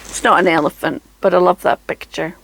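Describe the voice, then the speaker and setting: Chatty Scottish woman with creaky voice. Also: on the low side, fairly even intonation, a little fast, background noise. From Scotland. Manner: chatty, self-deprecating, with frequent ums and soft laughs. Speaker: craft channel host. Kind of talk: casual chat to camera. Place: home craft room.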